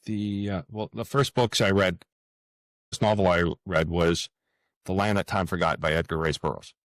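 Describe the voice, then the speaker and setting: A man's voice: low voice, fairly even intonation, moderate pace, fairly bright tone, no room sound. A man answering as an author guest in a casual interview, hesitant, hedging and repeating words as he thinks.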